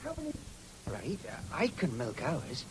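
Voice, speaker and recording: A woman's voice: low voice, huge pitch swings, unhurried, exaggerated voice. A woman playing a shy, faltering adolescent farm boy in a radio drama. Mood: awkward. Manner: hesitant, faltering. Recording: noisy 1970s radio recording.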